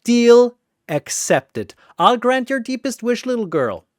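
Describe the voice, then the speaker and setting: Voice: medium pitch, big pitch swings, slow pace, a little mellow, no room sound, clean studio sound, voice pushed up. A narrator voicing a manic, gleeful triangle demon with a mocking, theatrical delivery in an audio story. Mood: gloating.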